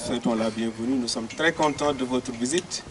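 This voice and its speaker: A man speaks in a soft welcoming voice at an outdoor school event. Traffic and outdoor ambience are clearly heard behind him, and his pitch sits at an ordinary middle level. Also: brisk. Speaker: school director welcoming guests. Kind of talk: welcome speech.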